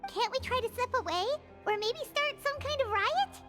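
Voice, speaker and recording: A woman voicing an excitable tiny floating female companion with a squeaky, childlike, whiny voice. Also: normal speed, big-room sound, over music. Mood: pleading.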